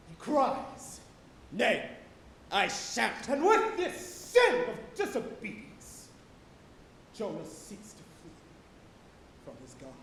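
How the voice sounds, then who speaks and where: A man delivering a theatrical sermon monologue on stage, declamatory, swinging from hushed tones to shouting. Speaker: stage actor preaching a sermon. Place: college auditorium stage. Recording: echoey auditorium with loud rumbling noise.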